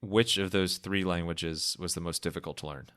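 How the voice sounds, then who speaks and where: A man's voice: low-pitched, fairly even intonation, moderate pace, fairly bright tone. A young man hosting a podcast interview, asking questions in an upbeat, jokey way and laughing easily. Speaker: podcast host.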